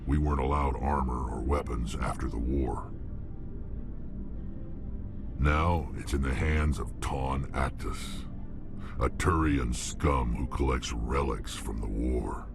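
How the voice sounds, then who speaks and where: An actor playing a hardened, blunt male alien mercenary warrior with a gruff, world-weary manner, in a video game. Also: very deep voice, fairly even intonation, slow pace, exaggerated voice. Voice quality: gravelly. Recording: game audio with music behind.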